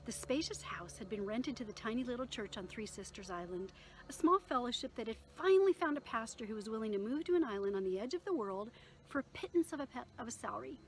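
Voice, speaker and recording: A woman reading her own novel aloud in an expressive storytelling manner, with occasional small stumbles. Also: a little high, fast talker, low-quality recording.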